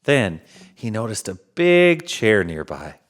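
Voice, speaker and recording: Man storyteller with animated, jolly delivery. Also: on the low side. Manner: jolly, chuckling, unhurried dramatic phrasing. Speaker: storyteller in Santa persona. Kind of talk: children's storybook read-aloud.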